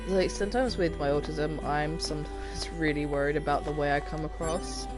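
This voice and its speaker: A game streamer chatting casually while playing, with a mumbling, mostly monotone delivery. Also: mid-range voice, moderate pace. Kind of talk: casual chat while gaming. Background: game music.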